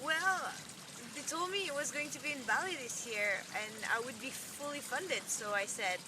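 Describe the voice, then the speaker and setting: A woman's voice: high voice, normal speed, sharp tone. A young woman with a French accent casually answering interview questions. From France. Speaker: youth organization representative. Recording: distant mic, clear ambient noise.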